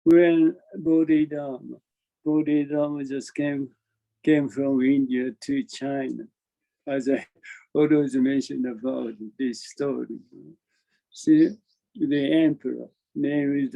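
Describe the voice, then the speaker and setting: Slow, creaky-voiced Japanese Zen teacher. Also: male voice, medium pitch, steady tone, mellow, muffled recording. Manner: halting, repeats phrases, chuckles often. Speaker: Zen master giving a dharma talk. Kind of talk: informal spiritual talk over online meeting. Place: online video meeting.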